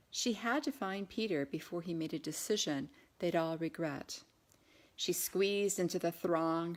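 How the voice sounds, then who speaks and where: A woman reading her own novel aloud in an audiobook narration style. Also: lowish voice.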